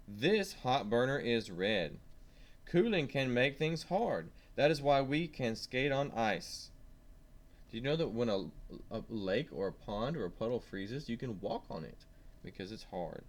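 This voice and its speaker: A man reading a science lesson aloud to young children, stressing key words and asking simple questions as he goes. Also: medium pitch. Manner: simple questions and prompts to the listener.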